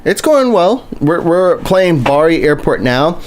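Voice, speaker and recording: A man's voice: a little high, very animated, a little slow. A man live-streaming a game, chatting casually with viewers and often restarting his sentences. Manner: rambling and chatty, lots of restarts, greets chat viewers. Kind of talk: casual live-stream commentary while playing.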